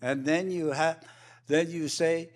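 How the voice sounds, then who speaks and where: A man's voice: a little high, fairly even intonation, takes it slowly. A man making impromptu panel remarks, repeating and restarting his phrases often as he argues his points emphatically. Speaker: roundtable panelist.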